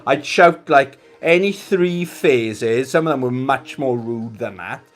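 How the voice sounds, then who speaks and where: A man's voice: medium pitch, lively intonation, slow. A man with a Welsh accent hosting a casual gaming live stream, chatting in a rambling, jokey way to his viewers. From Wales.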